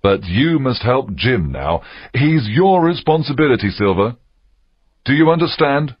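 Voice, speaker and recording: A man voicing a stern but kindly gentleman doctor in an audiobook, grave and measured. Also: medium pitch, very animated, breathy edge, dry recording, lo-fi sound, pitched above the performer's own voice. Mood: reassuring. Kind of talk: audiobook character acting.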